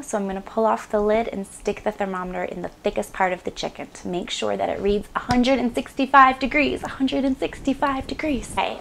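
Chatty girl in her 20s doing a cooking vid in her kitchen, lapel mic, bit of vocal fry. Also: mid-range voice, slight background noise. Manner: upbeat, chatty, playful asides. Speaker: cooking show host. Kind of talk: scripted cooking demonstration. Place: small home kitchen indoors.

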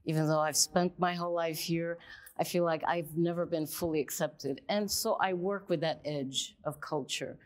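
Low-voiced earnest middle-aged woman. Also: steady tone, moderate pace. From Colombia, raised in the United States. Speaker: panel guest artist. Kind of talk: panel conversation.

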